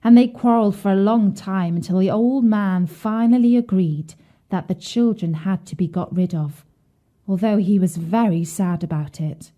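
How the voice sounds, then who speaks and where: A woman reading a scripted children's bedtime story in a measured, expressive way. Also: medium pitch.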